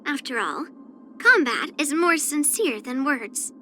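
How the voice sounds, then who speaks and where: A spirited young swordswoman from an anime-style game. Her voice is high, girlish and bright. She talks bluntly and earnestly, proud and a little pouty, with music playing behind her. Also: sing-song, slow, heavily acted. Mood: matter-of-fact, confident. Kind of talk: character voice lines for an anime-style video game.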